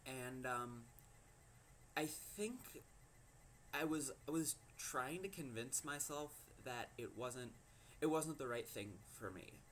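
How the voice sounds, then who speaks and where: A fifteen-year-old boy from Minnesota with a low voice, telling a personal story to camera and hesitating often with ums and likes. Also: slow pace, fairly bright tone, slight background noise. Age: teens. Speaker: teen vlogger.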